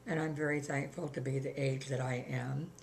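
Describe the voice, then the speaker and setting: An older woman with a gravelly voice, chatting plainly about her life in an interview, with many ums. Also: extremely low pitch, fairly even intonation, normal speed, noisy background. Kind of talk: casual reminiscing chat.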